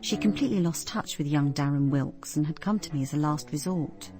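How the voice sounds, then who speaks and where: A woman's voice: low voice, fairly quick, darkish tone, soft background music. An actress playing a British woman police inspector, narrating her case in a radio drama with a composed storytelling manner.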